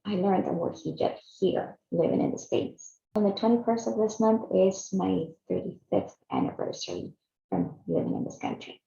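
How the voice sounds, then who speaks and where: A woman's voice: medium pitch, huge pitch swings, normal speed, gravelly, dark tone. A woman in her fifties from Chile, earnestly narrating a personal video series she hosts. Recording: echoey, slightly dull room sound.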